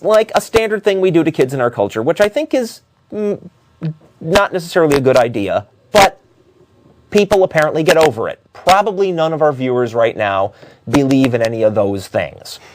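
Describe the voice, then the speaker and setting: A man giving unscripted opinion commentary as a talk show host, arguing in a halting way with frequent self-corrections. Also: mid-range voice, a little hiss or hum.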